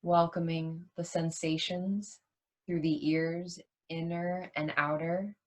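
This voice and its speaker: Slow, even-toned woman guiding meditation. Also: lowish voice. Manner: soothing, unhurried, with long pauses between short phrases. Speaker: yoga nidra meditation teacher. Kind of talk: guided meditation.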